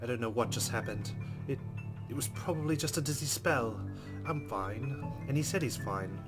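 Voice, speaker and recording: A man's voice: medium pitch, normal speed, music in the background. A man hosting a let's play, expressively reading a visual novel's narration aloud and adding his own reflective reactions.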